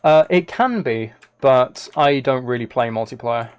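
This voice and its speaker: A man's voice: medium pitch, a little slow, clean tone. A man chatting casually on a gaming livestream, rambling and thinking aloud as he plays. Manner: rambling, musing to himself while playing. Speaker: live streamer.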